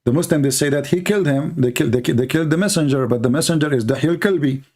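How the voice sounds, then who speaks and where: Gravelly-voiced man, insistent debater. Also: a little high, rapid-fire, a little mellow. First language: Arabic. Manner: insistent, repeats catchphrases. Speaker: debate host. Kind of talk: heated debate.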